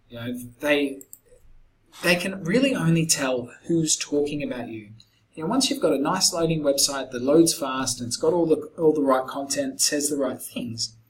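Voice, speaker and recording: A middle-aged man, slightly croaky, casually walking a client through a screen-recorded sales pitch with lots of fillers. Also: rough recording. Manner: conversational, many fillers and restarts. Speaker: SEO agency founder pitching a client.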